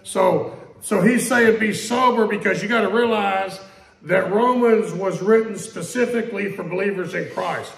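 Gravelly high-voiced male preacher, echoey church. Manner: conversational preaching, repeats questions, quotes scripture slowly. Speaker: pastor. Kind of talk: sermon. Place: church sanctuary.

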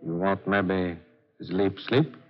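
An actor playing a polite, coaxing Chinese opium den keeper, speaking broken English with a stylised Chinese accent in 1940s radio style. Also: male voice, sits low, steady tone, slow, soft background music. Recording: dull, noisy 1940s radio recording.

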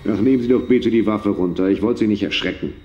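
A man's voice: fairly low pitch, fast talker, darkish tone, natural voice. An actor playing a polite, sheepish man stuck inside a costume in a comedic audio drama. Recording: muffled, echoey.

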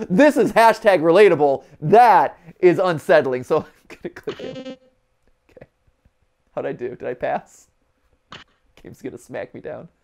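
A man in his thirties hosting a gaming stream with casual, animated, joking reaction commentary full of exclamations. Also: on the high side. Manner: animated, jokey asides, exclamatory. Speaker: livestream gaming host. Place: small furnished room, on a couch.

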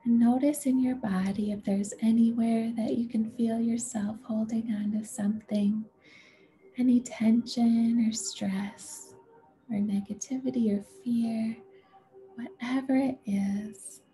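A woman leading a guided meditation in a gentle, soothing, unhurried way, with a soft, breathy voice that often nears a whisper. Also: on the high side, steady tone. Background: music.

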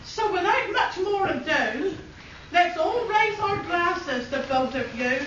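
A woman's voice: very high-pitched, expressive, takes it slowly, a bit bright, slight background noise. A woman, reading a rhyming wedding speech from a sheet and chuckling often as she goes. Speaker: guest giving a wedding speech. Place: indoor party room at a wedding celebration. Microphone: camcorder from across the room. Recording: distant echoey dull camcorder audio with room chatter.

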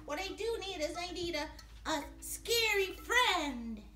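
A brave little puppet boy, acted by a woman, speaks in an extremely high, swooping voice. He is boastful, earnest and childlike, in a live puppet show with a roomy echo and music behind him. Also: very animated, measured pace, bright, forceful tone, exaggerated voice. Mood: thoughtful.

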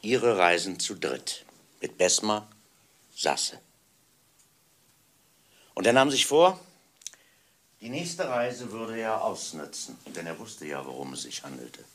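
Stern, forceful police inspector. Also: male voice, fairly low pitch, very animated, exaggerated voice. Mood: grim, resolute. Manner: emphatic, deliberate, accusatory. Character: stern, methodical male police inspector unmasking a killer. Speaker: actor in a radio crime play. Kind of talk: German radio crime drama acting.

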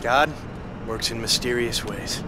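An actor playing an earnest, pious, supportive recovery sponsor in a video game, gently preachy. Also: male voice, medium pitch, measured pace, noisy background, natural voice. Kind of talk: video game cutscene acting.

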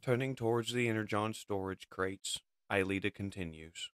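A man's voice: on the low side, normal speed, clear, resonant tone, no echo, studio quality. A man narrating a comic book as a dramatic scripted reading. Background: music.

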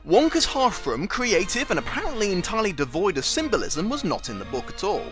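Fast, animated young man reviewing. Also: high-pitched, loud music behind the voice. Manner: sarcastic, bursts into mock outrage. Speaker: video essay host. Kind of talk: scripted comedic review narration.

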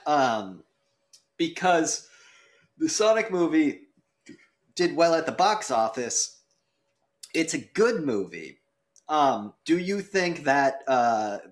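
A man's voice: medium pitch, expressive, slow, pauses often. A man moderating a podcast debate in a casual, conversational way, posing questions.

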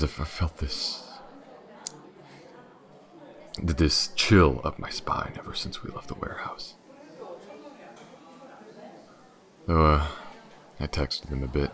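A man playing a gruff, wary, sardonic detective in an audio roleplay, gravelly and halting in his delivery. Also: a bass voice. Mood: low, guarded. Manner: halting, muttering.

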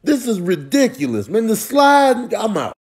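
A man's voice: high-pitched, sing-song, a little slow. A man giving slangy, repetitive comic commentary over short clips. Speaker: comedian doing voiceovers.

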